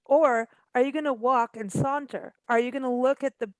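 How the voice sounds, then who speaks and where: Woman, radio host, chatty, enthusiastic, high voice, dry sound. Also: fairly even intonation, no echo, low-quality recording. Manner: enthusiastic, chatty, quick agreement. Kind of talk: casual radio interview chat.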